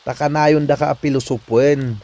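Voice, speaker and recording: A man's voice: medium pitch, fairly even intonation, normal speed, dark tone, natural voice. A man with a Filipino accent playing a teasing but reasonable husband who chides and needles in a comedy drama. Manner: chiding, needling.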